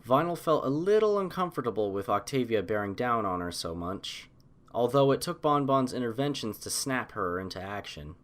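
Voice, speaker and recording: A man narrating a scripted fan story as an audiobook, in an expressive storytelling style. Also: medium pitch.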